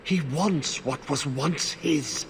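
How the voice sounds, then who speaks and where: An actor playing a half-mad, corrupted old warden in a video game, haunted and cryptic, speaking in halting fragments. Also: male voice, on the high side, vocal fry, background noise. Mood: bleak, tormented. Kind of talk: video game cutscene acting.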